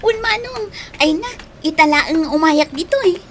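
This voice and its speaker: A woman's voice: very high-pitched, animated, moderate pace, slight background noise. A woman playing a hesitant young graduate, a respectful little sister in a radio drama, with an Ilocano accent. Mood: shy, worried.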